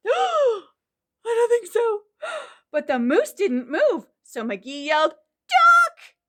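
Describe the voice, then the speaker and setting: Very high-pitched, animated woman reading to children. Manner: expressive, dramatic, with gasps and questions to children. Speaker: children's library storytime host. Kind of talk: animated picture-book reading and rhymes for young children.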